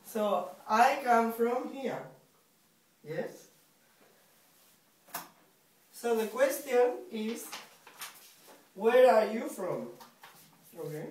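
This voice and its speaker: A young woman from Spain teaching a beginner English class, speaking slowly and patiently and repeating each question for her students. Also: on the high side, expressive, darkish tone. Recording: echoey room, far from mic, faint hiss.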